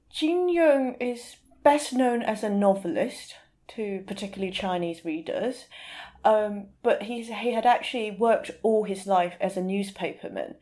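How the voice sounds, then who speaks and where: A woman speaking as an interview guest, explaining things informally and sometimes pausing to search for the right word. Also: medium pitch, warm tone.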